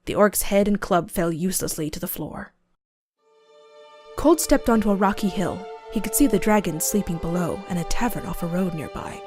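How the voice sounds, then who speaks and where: A woman's voice: lowish voice, moderate pace. A woman reading scripted narration for a fantasy storybook. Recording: game music under the voice. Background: fantasy game music.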